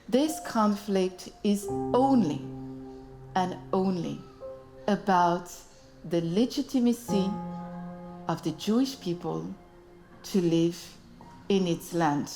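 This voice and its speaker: A woman making a documentary statement in a slow, emphatic way, stressing single words. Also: lowish voice, animated, over music. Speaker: documentary speaker.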